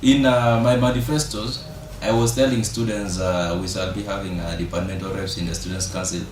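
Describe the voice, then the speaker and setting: A young man from South Sudan answers questions hesitantly, pausing often with fillers. His voice sits a little low. It comes from a distance in an echoey room, with clear noise behind him. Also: steady tone. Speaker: interview guest, student council president. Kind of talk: casual interview answers.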